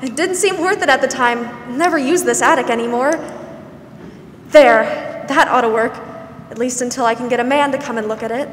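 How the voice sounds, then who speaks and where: A young woman playing a warm, pleasant, fussing woman of sixty in a 1940s-style radio drama on stage. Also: sits high, moderate pace, natural voice. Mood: warm, practical. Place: large theatre stage, indoors. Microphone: stand microphone, masked. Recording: echoey stage hall, faint noise.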